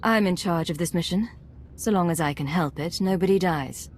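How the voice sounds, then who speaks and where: A woman voicing a resolute female agent who commands a covert team in a video game, speaking with measured authority. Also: on the low side, a little hiss or hum, lightly acted. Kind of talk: scripted video game acting.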